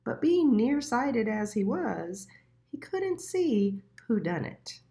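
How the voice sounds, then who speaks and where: A woman reading a children's storybook aloud in a deliberate, expressive storytelling manner. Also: a little high. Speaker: children's book reader.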